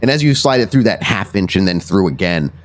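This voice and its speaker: A man's voice: sits low, expressive, a little fast. A man acting as game master, improvising descriptive narration for a tabletop game in a conversational way.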